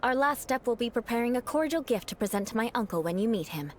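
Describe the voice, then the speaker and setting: A haughty young noblewoman knight from a video game, acted by a woman, speaks in a refined, stern, theatrical way. Her voice sits a little high and swings expressively, with a faint noise behind it. She sounds secretly caring under the severity. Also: animated, quick pace, lightly acted. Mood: composed, formal.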